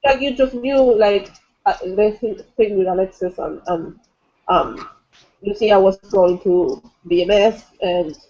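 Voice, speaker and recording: A woman's voice: medium pitch, a little slow, dark tone, highs cut off. A woman co-hosting a casual, informal book chat, often repeating words as she talks, on livestream audio. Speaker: co-host of a book discussion livestream.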